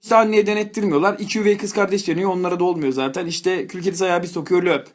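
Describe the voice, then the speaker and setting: Comic storyteller, medium pitch, colloquial slang, swearing, mock-scolding asides. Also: fairly even intonation, rapid-fire, a little hiss or hum.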